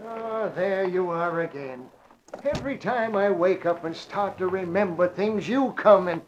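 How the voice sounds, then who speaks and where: An actor playing a frail, drugged old man in a 1940s radio drama, with a halting, wandering delivery. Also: high voice, slight background noise. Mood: dazed. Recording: dull lo-fi old radio recording.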